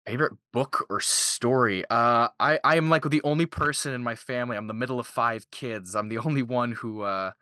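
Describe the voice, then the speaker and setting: A man's voice: mid-range voice, brisk, few pauses, sharp tone, clean tone, no echo, clean studio sound. A man being interviewed as a voice actor, talking casually and informally with enthusiasm.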